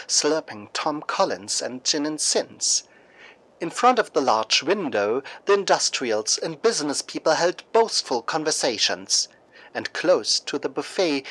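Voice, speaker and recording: A radio show host and narrator reading a scripted story in a theatrical, deliberate way, like a dramatic newscast. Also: medium pitch, fairly bright tone, low-quality recording.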